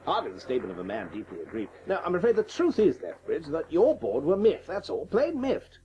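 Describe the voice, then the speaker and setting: A blustering, indignant English establishment patriot in radio comedy. His pitch swings extremely widely as he insists and scoffs, over faint noise. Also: wildly swooping pitch, brisk, dark tone, muffled recording. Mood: browbeating. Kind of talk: radio comedy drama acting.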